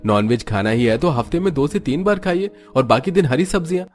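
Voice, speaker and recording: A man's voice: a little high, animated, brisk, faint music behind, natural voice. A man voicing a stern family doctor who speaks firmly and gives advice in a cartoon story. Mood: admonishing. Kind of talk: Hindi cartoon story dialogue.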